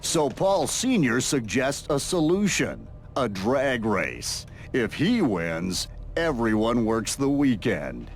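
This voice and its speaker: An actor playing a narrator of a reality show in a video game, delivering scripted, dramatic story links. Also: male voice, medium pitch, sing-song, slow, slight background noise. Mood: teasing drama.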